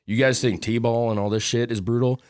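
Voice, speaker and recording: A man in his thirties, a little hoarse, co-hosting a casual podcast and rambling with a lot of swearing. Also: mid-range voice, fairly even intonation, normal speed, a little mellow, no room sound, clean studio sound. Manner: profane, rambling. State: slightly hoarse. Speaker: podcast co-host.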